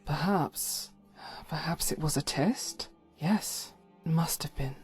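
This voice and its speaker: Slow, low-voiced devout warrior woman. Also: faint music behind. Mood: guarded, resolute. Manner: solemn, formal, measured. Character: devout, stern female holy warrior shaken by doubt. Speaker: voiced follower character in a fantasy game mod. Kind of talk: scripted dramatic game dialogue.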